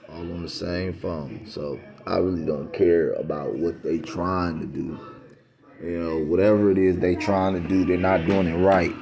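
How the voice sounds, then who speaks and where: A man's voice: low voice, fairly even intonation, normal speed, vocal fry, darkish tone. A man talking straight to camera in a rambling, insistent monologue, repeating himself for emphasis. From United States. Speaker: vlogger.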